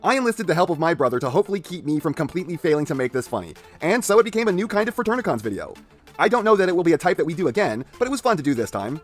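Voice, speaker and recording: A man's voice: on the high side, sing-song, rapid-fire, soft background music. A man co-hosting in a casual, bantering style, sarcastic and incredulous, with some swearing. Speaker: co-host. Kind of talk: casual banter and comic fanfic commentary.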